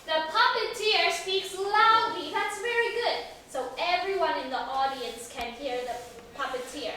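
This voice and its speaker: Very high-pitched woman teaching in echoey room. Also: unhurried, pushed and bright. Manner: simple, repetitive phrasing with questions to pupils. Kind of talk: classroom instruction to children. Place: classroom. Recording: very echoey room, distant mic, faint noise.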